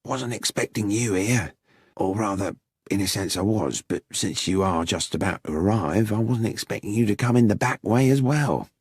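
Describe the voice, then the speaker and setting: A man voicing a furtive, wry police detective in an audiobook, hushed, clipped and politely commanding. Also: on the low side, expressive, normal speed, husky, dry recording, studio quality. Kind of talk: audiobook character acting.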